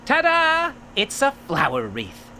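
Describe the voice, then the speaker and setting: A woman's voice: a little high, huge pitch swings, takes it slowly, bright, forceful tone, a little hiss or hum, full character voice. A woman voicing a playful, teasing, outgoing young woman in a video game. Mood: cheerful. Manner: teasing, breezy. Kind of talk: video game character dialogue.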